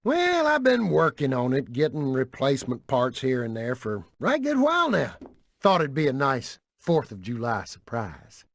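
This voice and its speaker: An actor playing a good-natured, easygoing country mechanic with a Southern American accent and a neighborly, informal manner. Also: male voice, mid-range voice, huge pitch swings, normal speed, no echo, studio quality. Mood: pleased, modest.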